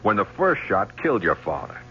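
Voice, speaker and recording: A shrewd, relentless detective of radio drama. He questions in a controlled, insinuating way with a wide-swinging pitch, over a muffled broadcast with noticeable hiss and hum. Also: wildly swooping pitch, fairly quick. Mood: pressing, skeptical. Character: shrewd, relentless male police lieutenant. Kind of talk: 1970s radio drama acting.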